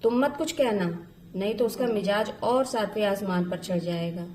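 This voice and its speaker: A woman's voice: medium pitch, moderate pace, a little mellow, a little hiss or hum, close to an everyday voice. A woman storyteller voicing a scheming young second wife, coolly manipulative and dismissive. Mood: scornful, sly. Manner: sly, dismissive, advising.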